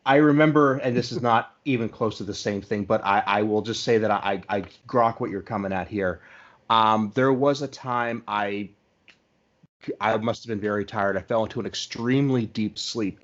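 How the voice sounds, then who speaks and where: Man, somewhat low voice, podcast host, casual conversation, slightly dull lo-fi audio. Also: normal speed.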